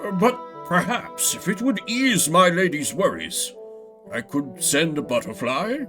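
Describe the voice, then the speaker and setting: A man voicing a deferential mouse lore master in a video game, formal, measured and courtly. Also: fairly high pitch, lively intonation, music in the background, voice pushed up. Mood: deferential, advising.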